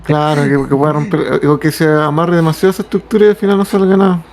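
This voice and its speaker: Man, rapid talker, slightly high pitch, casual chat. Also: little pitch movement, a little mellow, a little hiss or hum.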